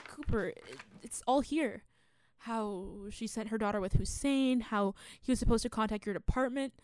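A woman's voice: on the high side, moderate pace, darkish tone, slight background noise, close to an everyday voice. A woman acting in a radio play as a determined, indignant investigator.

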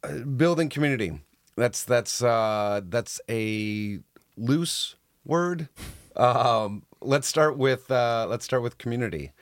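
A man interviewing a guest, framing his questions haltingly with many repeated words. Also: medium pitch, animated, dry recording, studio quality.

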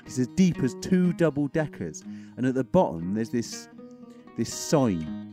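An actor playing an eager, odd young son of a gangster in a dark comedy crime drama, earnest and naive. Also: male voice, mid-range voice, sing-song, a little slow, music in the background. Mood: earnest, eerie.

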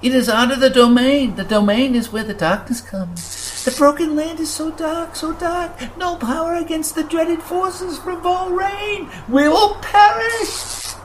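High, whining little sky creature, easily scared, repeats himself. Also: male voice, a little slow, hardly any pauses, a little hiss or hum, rough recording. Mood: hysterical. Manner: repeats his own words, high and wavering. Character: fearful floating male creature, whiny and hysterical. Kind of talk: audiobook character voice.

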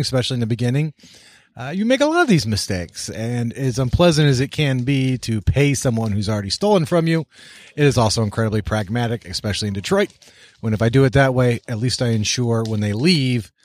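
A man's voice: medium pitch, no echo. A man with a mid-range voice chats informally as a panel guest. The delivery is conversational and unscripted, recorded outside among friends. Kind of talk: casual chat. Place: outdoors by a bonfire.

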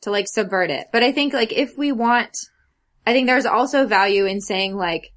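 A woman co-hosting a casual podcast chat, talking earnestly and haltingly, with "like" scattered all through. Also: on the high side, fairly bright tone. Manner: earnest, halting, filler-heavy with frequent "like". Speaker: podcast co-host. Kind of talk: casual chat about fandom and stories.

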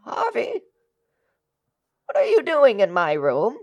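A woman's voice: a little high, wildly swooping pitch, slow pace, a little mellow, heavily acted. An actor playing a timid, deferential woman who speaks hesitantly and stammers in a melodramatic radio play. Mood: alarmed, faltering. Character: timid, tearful, deferential woman, a former household employee.

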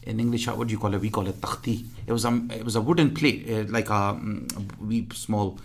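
A man in his fifties from Pakistan, reminiscing in an interview in a halting way full of fillers. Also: lowish voice, creaky, husky. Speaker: guest.

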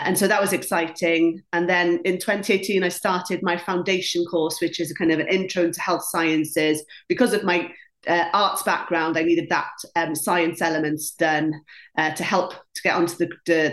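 A woman in her late thirties whose first language is Welsh, chatting enthusiastically about her career in an interview with frequent ums. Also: on the low side. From Wales. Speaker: guest, dietitian and former baking contestant. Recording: roomy echo.

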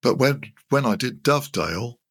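A man's voice: mid-range voice, lively intonation, normal speed, fairly bright tone, slightly rough, no echo, clean studio sound. An older man giving conversational technical explanations as an interview guest, with hesitant, thoughtful phrasing. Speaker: interview guest, loudspeaker designer.

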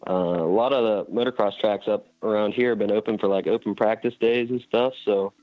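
A man's voice: fairly low pitch, steady tone, fairly quick, no echo, faint music behind. A young man from Indiana, a guest talking casually and rambling a little over the phone. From Indiana, USA. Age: young adult. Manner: laid-back, rambling with fillers. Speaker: guest rider. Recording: tinny phone line.

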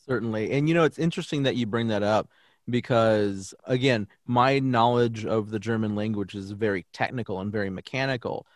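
A man's voice: fairly low pitch, a little fast, no echo, clean studio sound. A young adult man, a native English speaker who teaches German, talking earnestly and reflectively in a podcast interview, often restarting his sentences.

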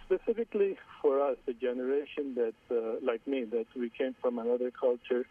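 A man in his forties with an Iranian Armenian accent, calling in to a radio show, hesitant and halting, repeating his words. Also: medium pitch. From Iran. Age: 40s. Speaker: caller. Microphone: phone line. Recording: distant husky phone line.

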